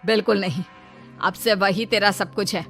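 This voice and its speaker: A woman's voice: medium pitch, steady tone, normal speed, faint music behind, lightly acted. A woman voicing a stern mother lecturing a grown daughter in a cartoon story, firm and admonishing. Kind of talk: Hindi cartoon story dialogue.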